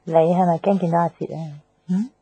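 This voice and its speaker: A woman's voice: fairly low pitch, flat delivery, a little fast, dark tone, husky, poor audio. An actress playing a cheeky, teasing young best girlfriend in a radio drama, breezy and slangy, with a Hong Kong Cantonese accent. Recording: faint music bed.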